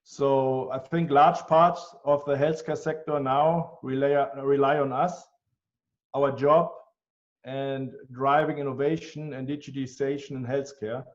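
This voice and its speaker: A man with a German accent, answering interview questions in an earnest, measured way with frequent ums. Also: mid-range voice, steady tone. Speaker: guest, healthcare tech executive. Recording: dull, lo-fi sound.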